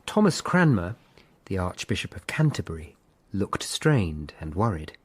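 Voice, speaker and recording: An actor playing a sober Tudor lawyer, narrating his investigation in the first person with a husky voice. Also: sits low, very animated, unhurried, heavily acted. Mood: observant.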